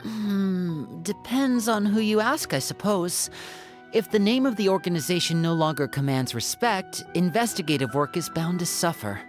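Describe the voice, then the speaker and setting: A woman's voice: fairly low pitch, expressive, normal speed, faint music behind, natural voice. A woman playing a cool, analytical young investigator in a video game, thoughtful and assured.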